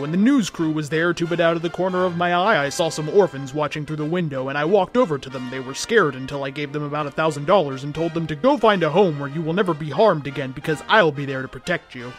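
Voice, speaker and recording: A man's voice: on the high side, fast talker, few pauses, faint music behind. A man reading a story aloud as host, with a theatrical, comedic delivery that acts out the dialogue.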